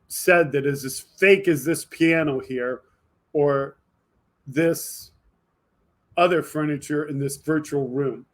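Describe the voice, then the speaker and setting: A man hosting a video podcast, delivering an impassioned, emphatic political monologue straight to his viewers, swinging between forceful outbursts and lower asides. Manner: emphatic, fired up, addressing viewers directly. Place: indoor home studio with green screen.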